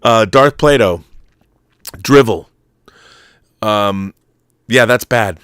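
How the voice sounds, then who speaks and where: Low-voiced, animated American man talking off the cuff. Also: extremely slow, clear, resonant tone, no echo, studio quality. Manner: animated, digressive, emphatic, swears casually. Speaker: talk show host. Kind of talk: casual, rambling opinionated live chat answering viewer messages.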